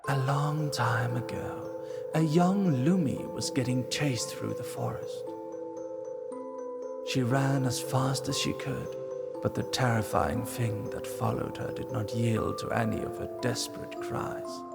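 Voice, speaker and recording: A man narrates a fantasy tale in a solemn, dramatic way. His delivery is slow with a creaky, gravelly grain, and music plays prominently underneath. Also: mid-range voice.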